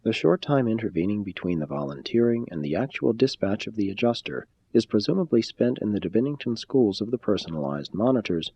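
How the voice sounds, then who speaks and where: A man with a low, mellow voice reads formal spiritual prose like an audiobook narrator. His delivery is measured and solemn, with a slightly husky grain. The recording sounds a little dull. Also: very animated. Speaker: audiobook-style reader. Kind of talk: formal scripted reading of a spiritual text.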